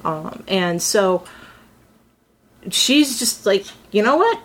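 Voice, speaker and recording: A woman's voice: mid-range voice, sing-song, takes it slowly, a little hiss or hum. A woman voice actor in a casual round-table chat, animatedly acting out her character's thoughts as she talks.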